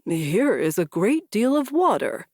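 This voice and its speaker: A woman's voice: medium pitch, sing-song, unhurried, darkish tone, dry recording, studio quality. A woman voicing a very old man in a storybook, kindly, earnest and easily charmed, speaking with simple wonder. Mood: helpful, kindly.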